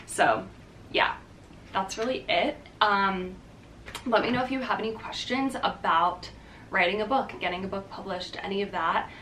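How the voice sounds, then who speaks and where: A woman's voice: medium pitch, normal speed, fairly bright tone, recorded from a distance, a little hiss or hum. A young woman vlogging casually to the camera, chatty and upbeat, with lots of "um" and "like". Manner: chatty and upbeat, many fillers like "um" and "like". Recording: echoey room, voice a bit off-mic.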